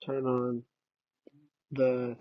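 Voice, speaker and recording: A man chatting casually as a game streamer, halting and offhand. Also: medium pitch, completely flat delivery, dry recording, muffled recording. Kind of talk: casual livestream chat.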